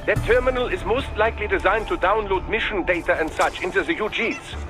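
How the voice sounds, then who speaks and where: A woman's voice: medium pitch, a little fast, music in the background, close to an everyday voice. Woman acting a helpful mission operator. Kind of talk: video game cutscene acting.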